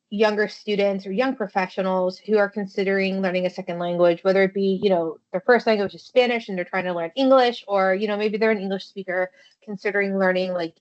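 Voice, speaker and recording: Woman podcast host, asks questions, casual fillers, dull lo-fi sound. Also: medium pitch. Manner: friendly, loose phrasing with "you know" and "like". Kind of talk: interview questions.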